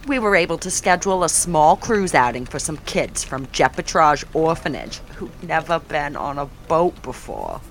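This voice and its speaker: A woman's voice: sits low, sing-song, moderate pace, few pauses, a little hiss or hum. An actress playing a matter-of-fact woman who plans the charity's events. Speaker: events planner.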